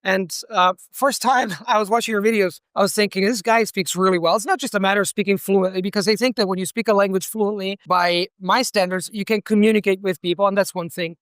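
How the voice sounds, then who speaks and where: A man's voice: high voice, fairly even intonation, fairly quick, hardly any pauses, fairly bright tone, clear, resonant tone, no echo, studio quality. A man hosting an interview at an event, earnest and searching for words, with frequent restarts. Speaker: interviewer, language channel host. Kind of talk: conversational interview. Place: hall at a language event with background noise.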